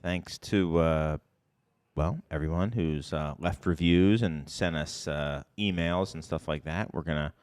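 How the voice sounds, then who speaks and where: A man's voice: very deep voice, a little slow, darkish tone. A middle-aged man co-hosting a podcast, chatting casually and rambling with ums and restarts. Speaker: podcast co-host. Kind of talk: casual chat. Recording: reverb on the voice.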